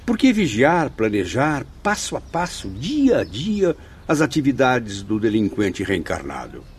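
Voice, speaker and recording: A man's voice: medium pitch, wildly swooping pitch, measured pace, slight background noise. A man playing an inquisitive, earnest spirit pupil in a radio drama, formal and questioning. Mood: puzzled, questioning.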